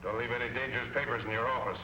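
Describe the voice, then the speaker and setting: An actor playing a stern, cold racket boss giving orders in a 1940s film serial. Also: male voice, medium pitch, steady tone, very fast, lightly acted. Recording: noisy, echoey old film soundtrack.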